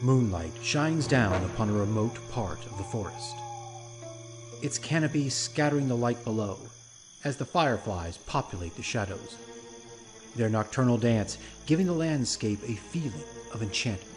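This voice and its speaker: A man narrating a scripted fantasy radio drama in a measured, theatrical storytelling manner. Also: medium pitch, lively intonation. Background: loud music with night insect sounds.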